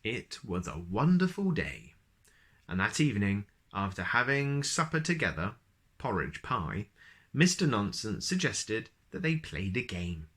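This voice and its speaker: A man reading children's storybooks aloud as host, expressive and speaking directly to young listeners. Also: fairly low pitch, a little slow.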